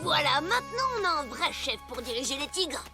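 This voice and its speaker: A brash little cartoon schemer. The child's voice is very high and swings widely, with a bossy, mocking edge. Loud music sits behind it. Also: big pitch swings, a little fast. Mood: dismissive. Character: brash, scheming, boastful kid of a tiger gang. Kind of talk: French animated series dub acting.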